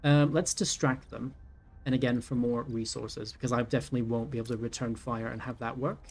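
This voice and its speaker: A man's voice: medium pitch, level tone, brisk, a little mellow. A man in his thirties giving casual let's-play commentary, thinking aloud with many ums and self-corrections. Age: 30s. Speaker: gaming YouTuber. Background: faint game music.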